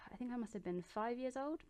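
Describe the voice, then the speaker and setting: A young woman in her bedroom, answering viewer questions in a relaxed, chatty way, with plenty of ums and restarts. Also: on the high side, normal speed. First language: English. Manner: chatty and relaxed, with many ums and self-corrections. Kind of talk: casual chat.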